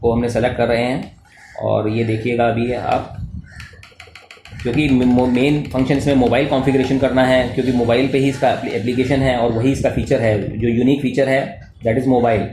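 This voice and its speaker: An Indian man with a mid-pitched voice presents a hands-on tech tutorial. He explains each step off the cuff, haltingly, often repeating phrases and dropping in English terms. The room rings with echo, and there is faint noise behind him. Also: a little mellow. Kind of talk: unscripted step-by-step product demonstration.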